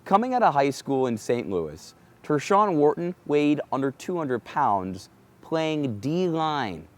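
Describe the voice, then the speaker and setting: A man's voice: a little high, very animated, takes it slowly. A man working as a sports anchor and reporter, with a playful, emphatic broadcast delivery. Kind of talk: sports broadcast narration and desk banter.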